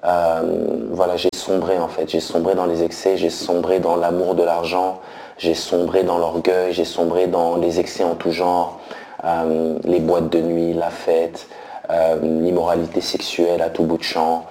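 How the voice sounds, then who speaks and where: A man in his thirties from France, giving a slow, hesitant personal faith testimony with many pauses and fillers. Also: sits low, completely flat delivery, warm tone, echoey room. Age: 30s.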